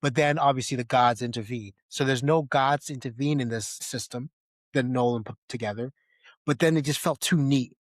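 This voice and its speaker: A man's voice: mid-range voice, normal speed, dry recording. Casual man hosting a podcast, close dry sound. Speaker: podcast host. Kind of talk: casual film discussion.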